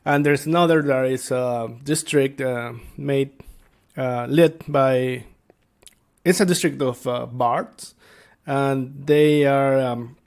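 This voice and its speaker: A man speaking as a tabletop game master, explaining things casually and without a script, halting as he thinks aloud. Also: medium pitch, steady tone. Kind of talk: casual unscripted explanation to viewers.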